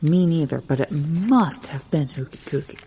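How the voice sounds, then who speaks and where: A woman playing an excitable, gossipy garden worker with a conspiratorial, slangy manner in a comedy audio drama. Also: low-pitched, moderate pace, slight background noise.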